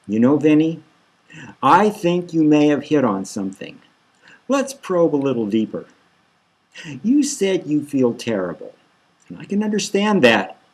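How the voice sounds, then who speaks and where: A man's voice: fairly high pitch, big pitch swings, unhurried, long pauses, husky, heavily acted. A man reading a satirical novel as a smug male therapist, falsely soothing, patronising and insistent.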